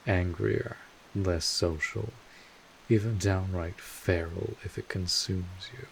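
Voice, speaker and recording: A man playing a world-weary but kindly old vampire mentor in an audio roleplay, speaking informally and in measured phrases with wry asides. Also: low voice, fairly even intonation, vocal fry, a little hiss or hum, full character voice, low-quality recording. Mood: grave, instructive. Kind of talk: second-person audio roleplay monologue.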